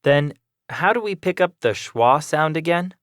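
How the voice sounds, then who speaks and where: A man's voice: a little high, lively intonation, measured pace, a bit bright, no echo. Guy doing an eager student, polite, asks plain questions, dry recording. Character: young adult male student, eager, polite. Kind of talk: scripted classroom dialogue.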